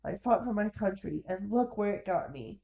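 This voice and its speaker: A woman's voice: mid-range voice, animated, moderate pace, gravelly, dark tone, dull audio. A woman voicing an embittered middle-aged man, a one-legged war veteran, who speaks in a weary, downhearted and plain way. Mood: sorrowful.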